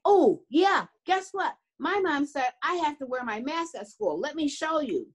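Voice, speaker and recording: A chatty young puppet child, acted by a woman, speaks in a fairly high voice. The manner is sincere and simple, as in a puppet show for small children. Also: lively intonation, measured pace. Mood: sincere, proud.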